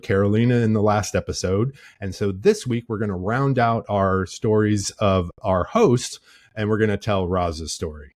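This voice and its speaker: A man's voice: fairly low pitch, darkish tone, no room sound, studio quality. A man hosting a podcast, moving between a prepared introduction and casual conversational talk. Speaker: podcast host.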